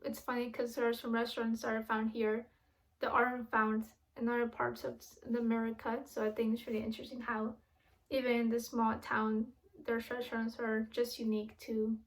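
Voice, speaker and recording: A woman's voice: a little high, monotone, normal speed, poor audio. A young woman who grew up in Miami, giving an earnest, casual video presentation with frequent restarts and fillers. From grew up in Miami, born in Ecuador.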